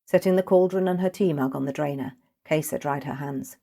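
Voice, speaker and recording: A woman's voice: sits low, fairly quick, vocal fry, warm tone. A woman reading a scripted fantasy short story as its narrator.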